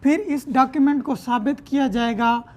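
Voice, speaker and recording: High-pitched Pakistani woman lecturing. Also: moderate pace, a little mellow. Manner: didactic, frequent check-in tags. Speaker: law lecturer. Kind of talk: explanatory lecture, reading out and paraphrasing legal text.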